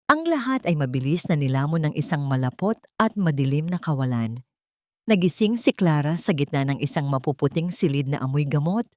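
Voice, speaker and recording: A woman's voice: fairly low pitch, darkish tone, dry recording, studio quality. A woman reading a scripted, dramatic story as its narrator.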